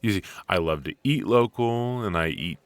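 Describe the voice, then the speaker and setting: Deep-voiced, rambling, flirty coffee date played by a man. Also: animated, no room sound. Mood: warm, curious.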